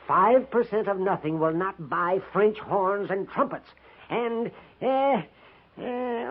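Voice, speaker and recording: A man's voice: fairly high pitch, full character voice. A man playing a fussy, nervous army sutler in a 1950s western radio drama, with an American accent and a dithering, florid, melodramatic delivery. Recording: muffled vintage 1950s radio recording.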